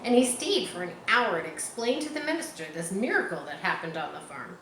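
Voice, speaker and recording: A woman's voice: medium pitch, big pitch swings, a little fast. A woman reads a children's story aloud in a mid-range voice. Her delivery is informal, like reading to listeners at home. The room echoes, with faint noise behind her. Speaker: storybook reader. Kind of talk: children's book read aloud with informal asides. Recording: roomy echo, faint background noise.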